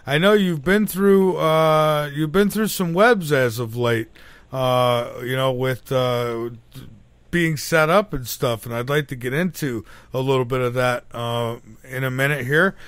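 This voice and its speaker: A male radio host at an ordinary pitch. He rambles through his introductions and questions, packing them with "uh" and "you know", and the recording sounds dry and close. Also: measured pace, a bit bright, clean tone, dry recording. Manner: rambling with frequent fillers. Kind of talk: casual radio interview.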